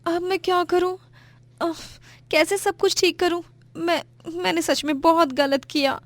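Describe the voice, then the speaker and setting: A woman's voice: very high-pitched, unhurried, no room sound. A woman voicing an emotional, melodramatic young married woman in a story cartoon. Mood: panicked, regretful. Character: bratty then remorseful young married woman Dimpy. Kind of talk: animated moral-story dramatisation in Hindi.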